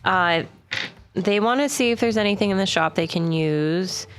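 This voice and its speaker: A woman playing a tabletop game who stops and starts often with fillers and speaks in character. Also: medium pitch. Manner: halting, with many fillers. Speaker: player.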